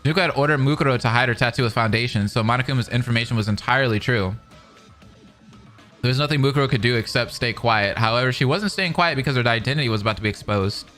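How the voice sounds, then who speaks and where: Male reaction commentator: bright, forceful, expressive narration, casual asides, faint music behind. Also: medium pitch, fast. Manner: excitable, expressive.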